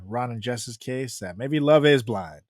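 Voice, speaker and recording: Laughing podcast co-host, male, likely 30s, medium pitch, dry close sound. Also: expressive, unhurried, no room sound. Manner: joking, laughs often, hypes the show. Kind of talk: casual chat.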